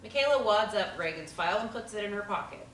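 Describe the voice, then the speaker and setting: A woman's voice: medium pitch, lively intonation, fast, a bit bright. A woman reading stage directions as scripted narration at a table reading of a play. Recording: echoey room, distant mic, noise.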